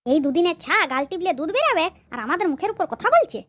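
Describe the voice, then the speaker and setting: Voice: extremely high pitch, big pitch swings. An actor voicing a carefree, blustering village drunkard in a comedy cartoon, bantering cockily. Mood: cocky. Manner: cocky, rapid rustic banter. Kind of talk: exaggerated comic cartoon dialogue.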